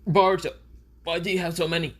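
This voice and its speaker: A man's voice: medium pitch, lively intonation, normal speed, sharp tone. A man voicing a flustered, indignant young ninja mentor in a fan story, scolding and incredulous. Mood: dismayed.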